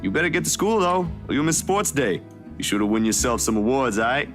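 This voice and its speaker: An actor playing a troubled but kind young man in a visual novel, speaking haltingly. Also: medium pitch, sing-song, natural voice. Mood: gentle, amused. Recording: music behind.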